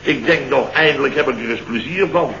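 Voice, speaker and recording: A man's voice: medium pitch, fairly quick, sharp tone. An actor playing a blustering middle-aged father in a late-sixties Dutch radio comedy, telling stories with theatrical indignation and mimicked quotes. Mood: excited, theatrical. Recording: dull, roomy, noisy old radio recording.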